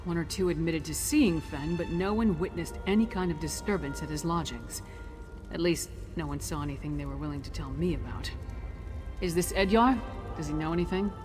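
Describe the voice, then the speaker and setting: An actress playing a blunt, wry warrior companion in a video game, sounding exasperated. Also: female voice, low-pitched, fairly quick, natural voice. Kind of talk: video game character dialogue. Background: music.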